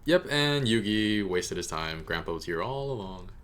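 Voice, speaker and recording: A man's voice: lowish voice, expressive, moderate pace, fairly bright tone. A man hosting a reaction video, giving casual, rambling, amused commentary.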